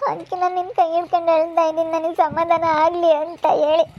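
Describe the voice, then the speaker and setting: A woman's voice: very high-pitched, little pitch movement, quick pace, highs cut off. A woman playing a remorseful, tearful younger sister in an amateur family drama, with a plaintive, pleading delivery. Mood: sad, insistent.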